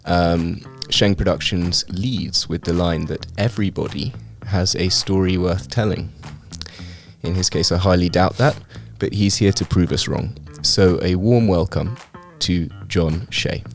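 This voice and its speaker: Deep-voiced man hosting a podcast. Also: a little slow, soft background music. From Hong Kong. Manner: dry teasing humor. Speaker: podcast host. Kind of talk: casual interview. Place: video podcast studio.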